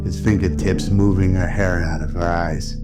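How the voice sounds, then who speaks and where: A man's voice: low-pitched, steady tone, normal speed, loud music behind the voice. A man narrating a scripted audio drama as storyteller.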